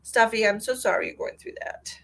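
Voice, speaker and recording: A woman's voice: on the high side, a little fast, creaky, a bit bright, slight background noise. Lady psychic, kinda stuffed up, chatty and sweet to her viewers. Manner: chatty and affectionate, addresses viewers directly. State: stuffy, congested. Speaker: psychic tarot reader. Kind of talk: live-stream tarot reading, chatting with viewers.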